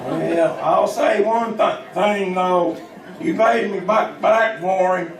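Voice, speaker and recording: An actor playing a plaintive backwoods farmer with a halting, stammering Ozark drawl in an old-time radio western. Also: male voice, sits high. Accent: Ozark hillbilly. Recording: roomy, distant vintage radio recording.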